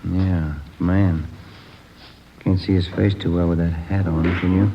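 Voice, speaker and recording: An American police detective, deep and plainspoken. He talks casually, like an easygoing partner in a radio drama, on a muffled recording with clear noise. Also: flat delivery, measured pace. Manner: casual, conversational. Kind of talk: 1950s radio drama acting.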